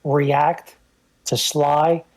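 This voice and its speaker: A man's voice: fairly high pitch, level tone, extremely slow, darkish tone. A man in his fifties with a New York accent, a native Italian speaker, telling personal stories casually as a podcast guest. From the Bronx, New York, United States. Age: 50s. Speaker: guest co-host on a film podcast.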